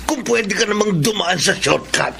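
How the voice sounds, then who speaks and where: A man's voice: high voice, expressive, normal speed, vocal fry, exaggerated voice. An actor playing a pushy, scheming middle-aged Filipino father in a radio drama, talking in a colloquial, wheedling, exclamatory way. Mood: pushy, coaxing. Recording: faint background noise.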